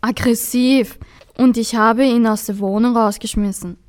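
A woman's voice: a little high, fairly even intonation, unhurried. A young woman as a guest on a school radio interview, giving short plain answers.

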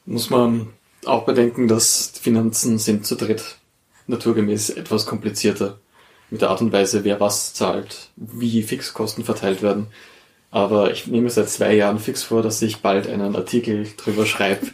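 A man's voice: lowish voice, level tone, moderate pace, a little mellow. A man with an Austrian accent giving conversational answers as an interview guest.

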